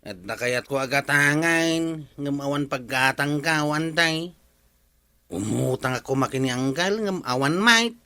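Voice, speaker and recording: An aggrieved, broke grumbler played by a man in an Ilocano comedy drama, with an Ilocano accent. He complains slowly in a bright voice. The recording is dry. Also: a little high, dry recording. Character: aggrieved, broke husband with a worn motorbike.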